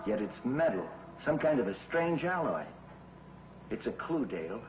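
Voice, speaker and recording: A man's voice: medium pitch, big pitch swings, normal speed, noisy background, natural voice. An actor playing a brave young male space hero who reasons firmly, in a 1950s TV series. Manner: firm, reasoning. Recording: dull 1950s TV soundtrack, roomy, with music.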